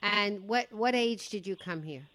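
Woman, talk show host, mid-pitched voice, chatty, affirming. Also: measured pace, fairly bright tone. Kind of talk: interviewing a guest.

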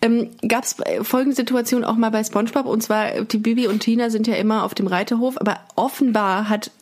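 A woman hosting a podcast in casual, joking chat, often halting and searching for words mid-sentence. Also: a little high, steady tone, a little mellow. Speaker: podcast host.

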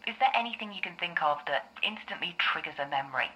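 A woman acting as a calm female therapist in an audio drama. Her voice is low for a woman and clearly bright, and she explains things in a measured, reassuring way. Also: poor audio. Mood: reassuring, guiding. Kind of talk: scripted audio drama dialogue.

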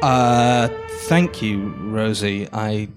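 A man acting an awkward, formal archivist new to his job in a live audio drama, speaking haltingly. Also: fairly low pitch, music in the background. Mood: awkward, hesitant. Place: live on stage at a festival.

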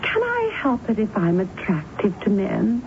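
An actress playing a flirtatious, vain married sister in a radio drama, coy, theatrical and wheedling. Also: female voice, medium pitch, huge pitch swings, measured pace. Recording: dull, slightly noisy old radio broadcast.

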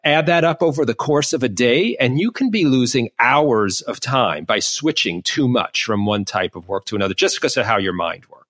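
A man with a mid-range voice, recorded dry with no room sound, on a podcast. He talks in a measured, reflective way, taking his time to build longer points. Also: medium pitch, lively intonation, fairly bright tone, dry recording. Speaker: podcast co-host. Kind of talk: conversational podcast discussion.

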